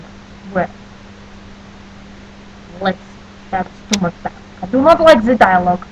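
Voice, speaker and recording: Deadpan New Jersey woman, oddly formal. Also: mid-range voice, measured pace, slight background noise, rough recording. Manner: stiff, overly formal phrasing, avoids contractions, deadpan silliness. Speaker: audio blogger answering a question list. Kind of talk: casual audio post.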